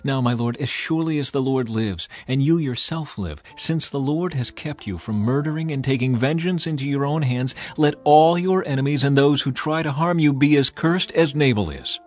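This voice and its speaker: A man's voice: medium pitch, moderate pace, few pauses, a little mellow, pitched above the performer's own voice, close to an everyday voice. Man reading as Abigail, a humble pleading woman. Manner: earnest, pleading.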